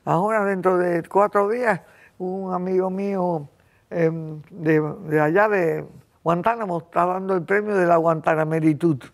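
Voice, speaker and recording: A woman's voice: on the low side, steady tone, moderate pace. Impassioned Cuban woman in her eighties. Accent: Cuban Spanish. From Cuba. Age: 80s. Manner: impassioned, rambling, frequent throat-clearing. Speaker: host. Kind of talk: casual conversation.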